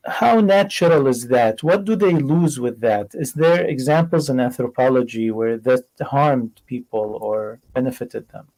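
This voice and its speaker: A man hosting a conversational interview, rephrasing haltingly as he goes. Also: mid-range voice, darkish tone, rough recording. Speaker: interviewer, host.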